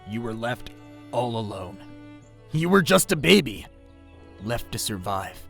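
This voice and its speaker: A man voicing a solitary male warrior mentor in an audio drama, grave and measured, with a gravelly voice. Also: medium pitch, big pitch swings, a bit bright, faint music behind, full character voice.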